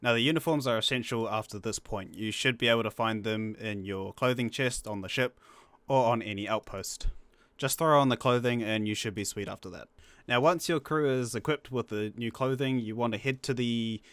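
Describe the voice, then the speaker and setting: A man with a medium-pitched voice walks players through a game guide. His delivery is casual and breezy, with slangy instructions, recorded very dry with no room sound. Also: normal speed, fairly bright tone, no echo. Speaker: guest co-narrator of a gaming guide. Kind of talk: casual video game tutorial narration.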